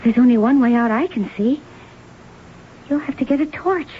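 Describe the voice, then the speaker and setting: Female, high voice, acting a worldly gangster's daughter in 1970s radio drama; thin, lightly noisy broadcast sound. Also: normal speed, dull audio, close to an everyday voice. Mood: cool, scheming. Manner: slangy, familiar, hard-boiled. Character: worldly, scheming young mistress, gangster's daughter.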